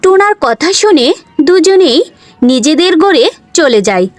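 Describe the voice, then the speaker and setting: High-pitched woman storyteller, dry sound. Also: very animated, measured pace, dry recording. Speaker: narrator. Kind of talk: scripted fairy-tale cartoon narration.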